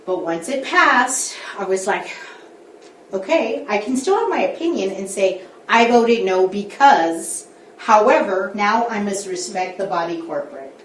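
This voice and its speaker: A woman's voice: mid-range voice, expressive, unhurried, fairly bright tone, a little hiss or hum. A woman school board member speaking candidly, coaching colleagues through a group workshop in a meeting room. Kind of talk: workshop discussion. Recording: echoey room, distant mic.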